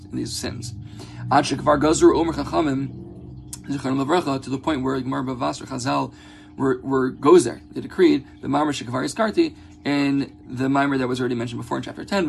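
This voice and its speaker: Male religious teacher, gravelly voice, halting explanatory delivery with repeated words, moving between Hebrew quotation and English, recorded with background hum. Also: medium pitch. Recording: clear background noise with a hum.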